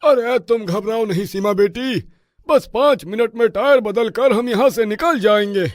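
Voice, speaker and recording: A man's voice: high voice, normal speed, lightly acted. A man playing a nervous, bossy village bus driver in a horror comedy story, scolding and warning anxiously. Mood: commanding.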